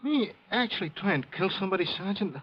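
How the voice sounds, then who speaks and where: A man's voice: sits high, expressive, fast talker, slightly rough. An American man playing a beefy, truculent former racketeer of about forty in old radio drama, blustering defensively in ungrammatical slang. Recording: muffled old radio recording.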